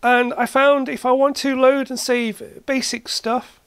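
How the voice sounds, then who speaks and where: Halting hobbyist demonstrating gear hands-on. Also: high voice, flat delivery, fairly bright tone, smooth, no room sound, clean studio sound. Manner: halting, matter-of-fact, thinking aloud while working. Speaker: host, retro computer hobbyist. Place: indoor cluttered workshop.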